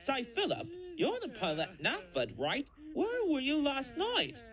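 An actor voicing an eager, naive young diesel engine detective in a cartoon, earnest and excitable. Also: high-pitched, a little slow, heavily acted. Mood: earnest questioning. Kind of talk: cartoon comic dub dialogue.

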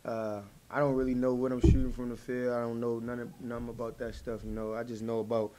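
A man's voice: flat delivery, fast talker, mellow. Laid-back young man at press table. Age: young adult. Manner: laid-back, low-key, casual. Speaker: college basketball player. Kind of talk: post-game press conference answers. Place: press conference room, seated at table. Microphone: table mic in front.

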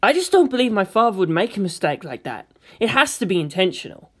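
A woman's voice: mid-range voice, very animated, fast talker, a bit bright, slightly rough. A woman acts out a teenage boy in a dramatic audiobook. He is agitated and full of protest. He questions everything with hurt suspicion. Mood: bitter frustration. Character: distressed, argumentative, suspicious teenage boy, reluctant pilot. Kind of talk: dramatic audiobook character reading.

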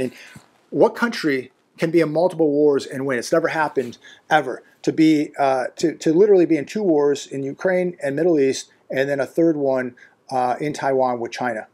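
A man's voice: mid-range voice, dark tone. A man in his fifties, seated indoors in a living room, giving an unscripted, alarmed commentary monologue. He is emphatic and repetitive, with frequent restarts and fillers. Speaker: commentary vlogger.

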